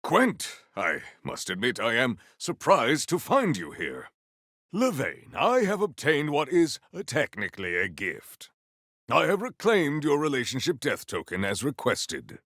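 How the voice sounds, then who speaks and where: An actor playing a proud, battle-hungry warrior in a video game, with formal, stilted and grandiose diction. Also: male voice, medium pitch, wildly swooping pitch, unhurried, a bit bright, dry recording, clean studio sound, exaggerated voice. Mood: formal, composed. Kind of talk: scripted video game character lines.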